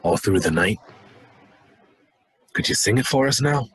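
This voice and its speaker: An actor playing a polite, sentimental man in a radio play, speaking with a husky voice. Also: fairly low pitch, animated, measured pace, fairly bright tone, full character voice. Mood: earnest. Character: polite, sentimental man making a heartfelt request.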